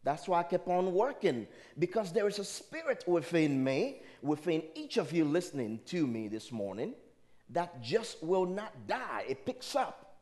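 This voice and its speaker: Male spiritual speaker, Liberian accent and native dialect speaker. Fairly high, very lively pitch, emphatic motivational sermon delivery. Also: a little slow. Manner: emphatic, rhetorical, questioning the audience. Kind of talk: motivational sermon to a live audience.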